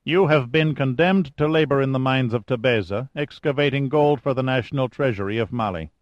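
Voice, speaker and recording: A man voicing a calm, cold middle-aged male mine boss who threatens in a matter-of-fact way. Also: mid-range voice, no room sound, dull audio, pitched above the performer's own voice, close to an everyday voice. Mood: cool, controlled. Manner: measured, matter-of-fact menace.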